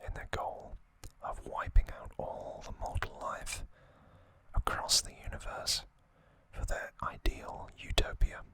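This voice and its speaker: A man whispering a casual ASMR recap in short, hushed, unhurried phrases. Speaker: ASMR host.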